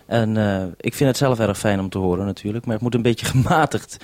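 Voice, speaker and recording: A man hosting a radio link, speaking calmly and courteously in an orderly radio-call style. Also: fairly low pitch, brisk, no room sound. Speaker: radio host on a two-way radio link. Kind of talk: radio call, saying "over".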